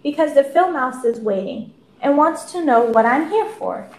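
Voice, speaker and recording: A woman's voice: sits high, lively intonation, normal speed. A woman voicing a curious, earnest little male earthworm in a read-aloud storybook, asking eager questions. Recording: echoey room, faint ambience.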